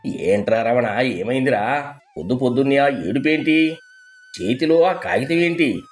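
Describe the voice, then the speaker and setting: A man puts on an elderly uncle for a moral tale, selfish and quick to scold. The voice is gruff, at a medium to lowish pitch, with light music underneath. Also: expressive, lightly acted. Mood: puzzled, scolding. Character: boastful, selfish elderly uncle making excuses. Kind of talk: dramatized moral story acting.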